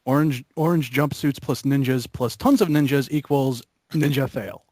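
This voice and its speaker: A man chatting casually and ramblingly with friends in a geeky, halting way. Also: mid-range voice, darkish tone, no echo. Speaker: member of a gaming commentary group. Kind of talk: rambling casual group chat.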